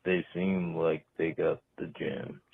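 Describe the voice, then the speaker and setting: A man with a low, gravelly voice leaves a drunken, drowsy voicemail. He talks extremely slowly and haltingly, and the recording is muffled and lo-fi. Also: flat delivery. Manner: halting, trailing phrases. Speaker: voicemail caller. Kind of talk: rambling voicemail message.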